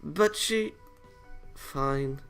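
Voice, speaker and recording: A man's voice: on the high side, expressive, extremely slow, a bit bright, clear, resonant tone, soft background music, exaggerated voice. A man voicing bickering, exasperated young angel girls in a visual novel, with an indignant, huffy manner. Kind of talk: visual novel character reading.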